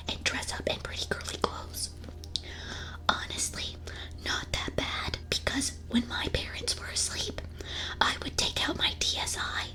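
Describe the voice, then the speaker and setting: A woman's voice: bright, forceful tone, rough recording. A woman streamer whispering playfully and with mock solemnity into a close ASMR microphone as she reads out and reacts to confessions.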